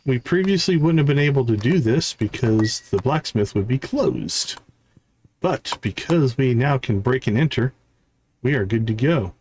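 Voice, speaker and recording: A man's voice: mid-range voice, expressive, normal speed, a little mellow, low-quality recording. A man giving casual live gameplay commentary, thinking aloud as he counts off items and talks through his tasks. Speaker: live streamer, gaming host.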